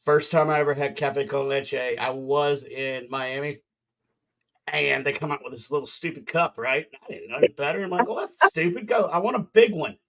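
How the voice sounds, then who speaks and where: A man hosts a loose podcast chat over a video call and teases his guests. His voice is bright and forceful and sits a little high. He rambles and jokes as he goes. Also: normal speed. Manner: joking, rambling, teasing. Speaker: podcast host. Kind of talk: casual chat on a livestream.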